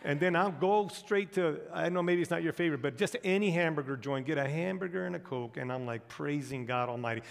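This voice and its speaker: A man's voice: fairly high pitch, a little fast, vocal fry, hardly any pauses, long reverb tail. A man from the United States speaking as a guest missionary in a church, telling humorous anecdotes to the congregation. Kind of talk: storytelling sermon talk. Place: large church auditorium.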